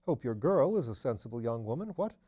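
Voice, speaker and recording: A man voicing a genial aristocratic gentleman detective in an audiobook, affable, with clipped upper-class phrasing. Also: medium pitch, very animated, brisk. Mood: cheerful. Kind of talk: audiobook character voice.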